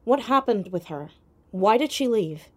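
A woman's voice: mid-range voice, lively intonation, unhurried. Persistent teenage girl sleuth. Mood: probing, assertive. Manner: probing, direct questioning. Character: sharp, persistent fourteen-year-old girl detective. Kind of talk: audio drama acting, naturalistic.

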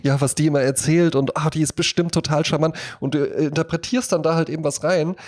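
A man's voice: fairly high pitch, steady tone, fast talker, a little mellow. A man co-hosting a casual podcast, talking a lot in an emphatic, jokey way. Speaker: podcast co-host.

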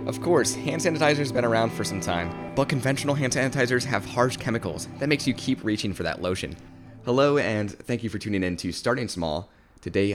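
A male podcast host with a fairly low voice who talks at a quick clip. He opens with a scripted intro, then asks questions, with short encouraging replies as he listens. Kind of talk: podcast interview.

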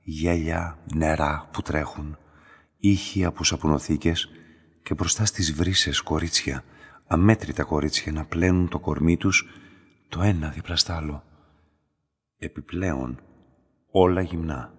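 Mysterious middle-aged lab master, radio drama, very low rough-soft voice, calm probing delivery, long reverb. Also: male voice, long pauses, exaggerated voice. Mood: wistful, brooding. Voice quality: deep, husky. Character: enigmatic, calm, quietly insistent man who runs a specimen laboratory.

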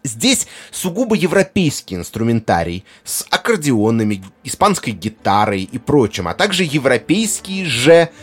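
Man narrating with very lively, swooping intonation. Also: medium pitch, normal speed, few pauses, husky. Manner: erudite, articulate, with conversational asides. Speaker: presenter of a film music video essay. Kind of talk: explanatory video essay narration.

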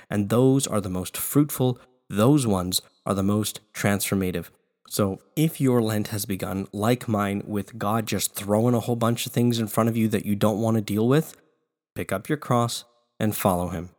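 A man's voice: lowish voice, darkish tone. Congested man hosting a prayer podcast. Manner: quick announcements, slow measured chanting of a litany. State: congested, recovering from lost voice. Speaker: podcast host. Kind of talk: podcast talk and prayer recitation.

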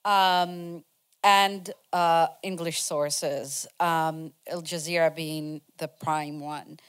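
A woman addresses a community forum in a slow, unhurried way. Her voice is smooth and clear, fairly low for a woman. She is earnest and halting, and fills her pauses with many ums. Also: steady tone, fairly bright tone. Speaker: panel speaker.

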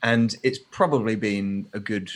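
A man's voice: on the low side, a little slow. An English man in his thirties, a podcast guest talking casually and thoughtfully, with many fillers and restarts. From England. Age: 30s. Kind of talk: casual conversation.

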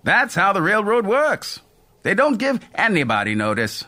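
A man's voice: sits high, huge pitch swings, moderate pace, sharp tone, voice pushed up, full character voice. A man voicing a cheerful, chatty old male train conductor with a folksy, teasing manner. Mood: jovial.

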